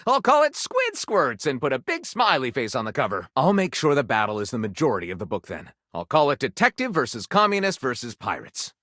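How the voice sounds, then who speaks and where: Theatrical, animated male storyteller. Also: medium pitch, brisk, hardly any pauses, no room sound, clean studio sound, heavily acted. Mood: musing, dramatic. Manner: theatrical, flamboyant, dramatically announcing titles. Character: theatrical, enthusiastic male book author who pitches stories. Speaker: video game voice actor. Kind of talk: scripted dating-sim game character dialogue.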